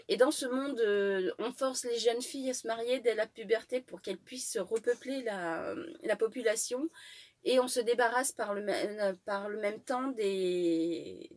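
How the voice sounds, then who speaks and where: Woman, stuffy head cold, casual book vlog chat, halting, many fillers. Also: mid-range voice. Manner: hesitant, lots of fillers and restarts. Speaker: book vlogger. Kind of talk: casual chatty book haul.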